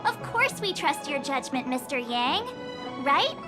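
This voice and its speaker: A woman voicing a cheerful, naive teenage girl adventurer in a video game, with a bubbly, expressive manner. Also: high voice, unhurried, loud music behind the voice. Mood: supportive. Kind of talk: video game English dub acting.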